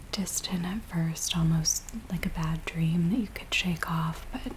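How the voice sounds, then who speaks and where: A woman playing a frightened villager in a soft, breathy, whispered ASMR roleplay, speaking in a hushed, hesitant way. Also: low-pitched, low-quality recording. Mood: uneasy. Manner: hushed, hesitant, slow storytelling. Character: frightened village woman pleading with a werewolf tracker. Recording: steady rain-like background noise. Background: rain sounds.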